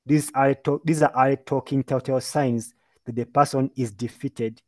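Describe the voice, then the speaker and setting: A man's voice: medium pitch, steady tone, moderate pace. A man from Uganda giving an earnest, emphatic talk as a mental health speaker in a webinar presentation, heard over a video call. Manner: earnest, emphatic, lecturing with lists and repeated phrases.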